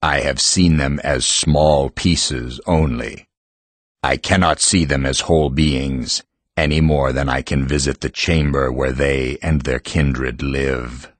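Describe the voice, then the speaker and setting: An actor playing the solemn, ancient living heart of a mountain, speaking formally in a fantasy audiobook. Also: male voice, a bass voice, fairly even intonation, no echo, full character voice. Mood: grave. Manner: formal, measured, grave. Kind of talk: full-cast fantasy audiobook acting.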